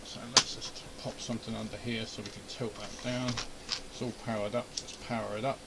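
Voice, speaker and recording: A man's voice: lowish voice, level tone, fairly quick, vocal fry, heavy background noise. A man giving a casual running commentary on a hands-on repair, grumbling and muttering to himself as he goes. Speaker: amateur radio hobbyist and video host. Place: indoor home radio shack.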